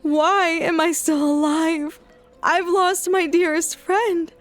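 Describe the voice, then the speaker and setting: An actress as a haunted young woman in an audio drama, very high in pitch and slow to speak. She broods inwardly, blaming herself for surviving, with music playing behind her. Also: fairly even intonation. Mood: anguished, numb. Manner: brooding, slow inner monologue.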